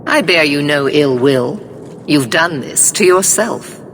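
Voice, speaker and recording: An older villainous commander in a fantasy game, stern and righteous. Her delivery is cold, formal and deliberate, in a low register. Loud rumbling noise sits behind the voice. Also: expressive, heavily acted. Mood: cold, accusing. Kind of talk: dramatic video game voice acting.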